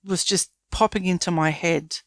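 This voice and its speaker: A woman's voice: lowish voice, steady tone, normal speed, fairly bright tone, dry recording, clean studio sound. An Australian woman in her fifties speaking candidly and reflectively as a guest in a conversational interview. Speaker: interview guest, small business owner.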